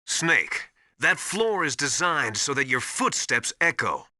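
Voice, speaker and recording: A knowledgeable, friendly survival adviser from a video game. He instructs and reassures in a sharp, animated voice, recorded dry and close. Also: fairly high pitch, slow, no room sound, exaggerated voice. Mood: reassuring, instructive. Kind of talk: video game briefing dialogue.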